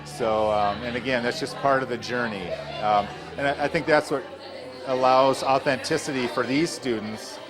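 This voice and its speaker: A middle-aged man speaking informally and with passion as an instructor, in an animated manner. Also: mid-range voice, normal speed, music in the background, a crowd in the background, rough recording. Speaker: early childhood education instructor. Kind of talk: informal classroom lecture and interview talk.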